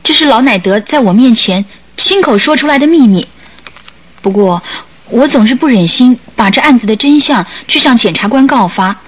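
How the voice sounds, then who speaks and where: A woman's voice: fairly high pitch, expressive, normal speed. An actress playing a sorrowful, guilt-ridden woman making an earnest confession in a radio drama. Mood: anxious, confiding. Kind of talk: Mandarin radio drama acting.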